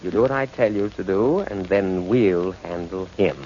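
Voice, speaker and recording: An actor playing an impatient, bossy crime boss, curt and commanding, in the style of a 1940s radio crime drama. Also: male voice, low voice, normal speed, warm tone, natural voice. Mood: controlled, menacing. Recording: muffled, noisy 1940s radio broadcast.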